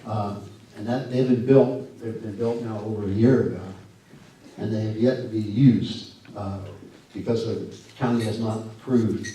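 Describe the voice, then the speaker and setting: A man with a scratchy voice giving a folksy, earnest talk at a church and answering questions, halting often with fillers and restarts. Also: fairly low pitch, fairly even intonation, dark tone. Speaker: guest speaker. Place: church sanctuary. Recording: echoey hall from far off.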